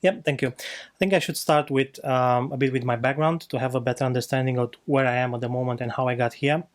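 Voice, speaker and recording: Earnest Romanian-accented man, halting. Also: medium pitch, fairly even intonation. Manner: earnest, searching for words with frequent fillers and restarts. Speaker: podcast co-host. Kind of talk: casual personal testimony. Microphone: video podcast mic.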